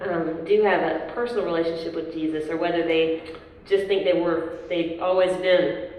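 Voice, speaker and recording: A young woman with a Southern American accent, a worship leader chatting casually in an interview, self-deprecating and quick to laugh. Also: fairly low pitch, fairly even intonation, a little mellow. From southern United States. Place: large hall at a worship event. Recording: echoey hall, voice from far off, faint noise.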